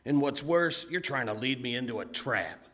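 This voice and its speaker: A man's voice: medium pitch, lively intonation, normal speed, a bit bright, lightly acted. A man playing a suspicious, threatening outlaw killer in an old-time Western radio play, barking orders.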